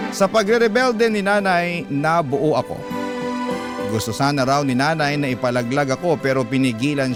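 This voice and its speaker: A male host reading a listener's letter aloud in a slow, emotive, classic radio storytelling manner. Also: mid-range voice. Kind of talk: dramatic storytelling narration. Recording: guitar music under the voice. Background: guitar music.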